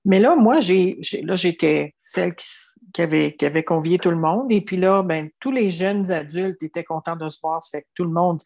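A woman's voice: on the low side, moderate pace, darkish tone. A woman in her seventies from Quebec with a Québécois French accent, telling her life story as an interviewee in an earnest way, often restarting and saying "tu sais". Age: 70s. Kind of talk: reflective personal storytelling.

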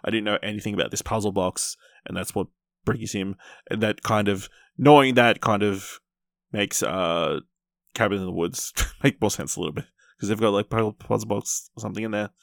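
A man's voice: fairly low pitch, moderate pace, creaky, fairly bright tone, no echo, clean studio sound. A man hosting a podcast and chatting casually about films. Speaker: podcast host. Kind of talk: casual film review chat.

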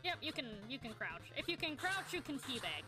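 Playful woman on a gaming podcast, high bouncy voice, music going underneath. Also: sing-song, fairly quick. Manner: playful, joking, laughs often. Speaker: podcast co-host. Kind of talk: casual chat about video games.

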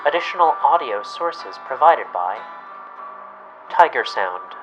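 A man's voice: mid-range voice, sing-song, unhurried. A man announcing credits in a scripted read at the end of a radio play. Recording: dull lo-fi sound, guitar music behind.

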